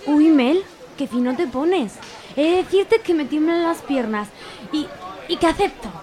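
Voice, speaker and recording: A woman's voice: high-pitched, expressive, unhurried. A woman playing a shy, lovesick market vendor who sighs and stammers nervously, over crowd murmur. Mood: bashful. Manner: bashful, sighing, nervous. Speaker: character in a radio serial.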